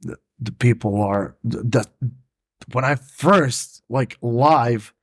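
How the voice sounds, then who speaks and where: A man's voice: medium pitch, slow pace. Young man, casual rambling podcast host. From Montenegro. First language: Montenegrin. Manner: rambling, heavy on fillers, swears casually. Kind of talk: casual conversation.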